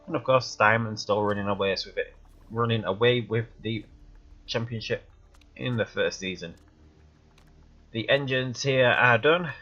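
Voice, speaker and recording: A man's voice: on the low side, measured pace, pauses often, pushed and bright. A man with a cold, sounding stuffy and sniffly, rambling through casual commentary as he plays a game. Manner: rambling, thinking aloud while playing. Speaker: let's play gamer. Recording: dull lo-fi recording, faint music behind.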